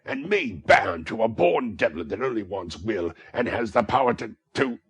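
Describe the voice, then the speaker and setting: A man voicing a scheming old male thief-master who snarls with scorn and croaks when he coaxes. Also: rumbling low, very animated, measured pace, dry recording, exaggerated voice. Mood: furious. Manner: scornful, then wheedling and croaking.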